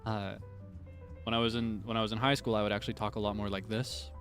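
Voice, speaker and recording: A man's voice: lowish voice, little pitch movement, quick pace, a bit bright, soft background music. A young man chatting casually on a stream, answering viewer comments with plenty of laughter and self-deprecating remarks. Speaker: virtual streamer.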